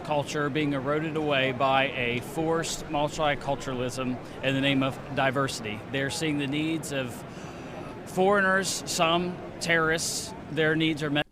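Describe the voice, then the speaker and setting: A man's voice: a little high, steady tone, a little slow, fairly bright tone. A nineteen-year-old American man talking earnestly in a street interview. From United States. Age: teens. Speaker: party conference attendee. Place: outdoors at an event. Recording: outdoor street noise.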